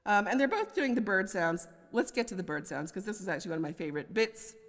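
A woman's voice: medium pitch, lively intonation, fast talker, fairly bright tone. A woman with an American accent giving an academic poetry lecture, scholarly but with conversational asides. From United States. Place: large indoor lecture hall. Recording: reverberant lecture hall.

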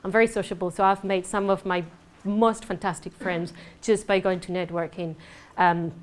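A woman's voice: fairly low pitch, little pitch movement. Chatty Spanish-accented woman speaker. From southern Spain. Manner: fast, chatty and joking with the audience. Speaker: networking speaker. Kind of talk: lively conference presentation. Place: conference room. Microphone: mic at a talk.

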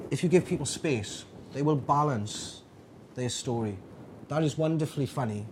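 Male workshop leader, informal and reflective, mid pitch, noisy take. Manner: reflective, with many restarts and fillers. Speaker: digital storytelling workshop leader. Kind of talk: informal talk to an audience.

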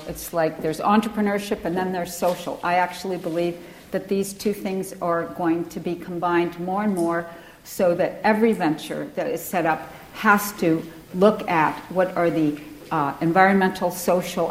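Brief: middle-aged woman from Ecuador, American accent, low pitch, guest lecturer, informal and full of fillers. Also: a little hiss or hum, poor audio. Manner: conversational, frequent fillers and restarts. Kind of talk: lecture to a class.

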